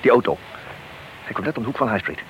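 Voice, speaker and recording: A man playing an eager, urgent and excitable police detective on a stakeout in a Dutch radio drama. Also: medium pitch, fast talker, dull audio, close to an everyday voice. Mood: eager, tense. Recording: noisy old radio play, hiss and traffic.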